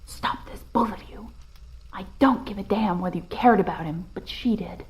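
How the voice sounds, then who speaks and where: A woman playing a dignified, grieving middle-aged mother and widow in an audio drama, earnest and quick to reproach. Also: mid-range voice, lightly acted, low-quality recording. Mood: reproachful. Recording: music behind the voice.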